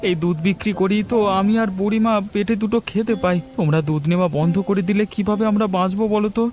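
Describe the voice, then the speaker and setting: A man's voice: high-pitched, fairly even intonation, fast talker, darkish tone, lightly acted. A man voicing a poor, humble young milk boy in a cartoon story, earnest and pleading in manner. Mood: pleading, apologetic. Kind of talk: Bengali cartoon story voice acting.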